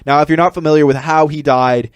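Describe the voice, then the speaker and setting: A man's voice: on the high side, steady tone, quick pace, clean tone, no echo. A man talking casually and bluntly as an opinionated podcast co-host, giving entertainment commentary on a close microphone.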